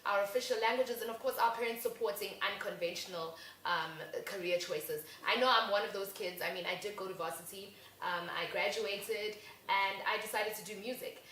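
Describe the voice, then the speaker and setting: A young South African woman co-hosting a casual talk show, lively and chatty. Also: medium pitch, a little fast, few pauses. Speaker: co-host. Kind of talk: casual chat. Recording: echoey room with faint noise.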